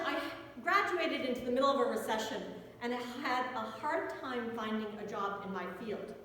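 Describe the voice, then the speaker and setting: Animated high-voiced American woman preaching, very echoey. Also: slight background noise. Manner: animated, wry storyteller who acts out dialogue. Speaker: pastor. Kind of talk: storytelling sermon. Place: inside a church. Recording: echoey church hall with long reverb.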